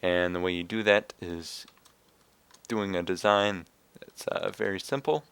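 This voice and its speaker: A young man with a raspy, slightly hoarse voice, casually explaining a game build step by step with fillers. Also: low voice, fairly even intonation, unhurried, a bit bright. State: hoarse from coughing. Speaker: video game tutorial maker. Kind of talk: casual screen-recorded game tutorial.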